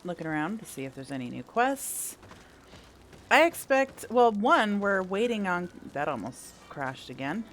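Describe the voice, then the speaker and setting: A woman's voice: medium pitch, very animated, measured pace, a little hiss or hum, rough recording. A woman giving casual playthrough commentary, thinking aloud in a wry, self-joking way. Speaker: gaming content creator. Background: faint game music.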